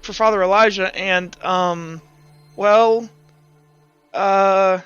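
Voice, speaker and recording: A man voicing a nervous, emotional young fellow who talks in a halting, apologetic stammer. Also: sits high, fairly even intonation, a bit bright, smooth, dry recording, dull audio, soft background music. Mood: hesitant.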